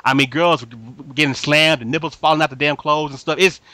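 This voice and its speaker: A man with a bright, forward voice chats casually on a podcast. He is blunt and slangy, stumbling over repeated words. The recording is dry and close. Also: a little high, fairly even intonation, brisk, no room sound. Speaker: anime podcast member. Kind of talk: casual chat.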